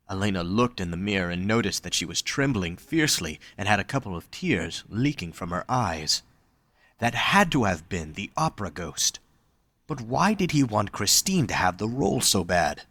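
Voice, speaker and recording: Male narrator for scripted fiction. Low-pitched, dry recording. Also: fairly bright tone, no echo.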